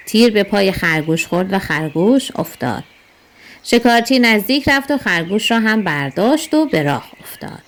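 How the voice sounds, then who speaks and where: A woman narrating a scripted children's story in an expressive, storytelling manner. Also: medium pitch.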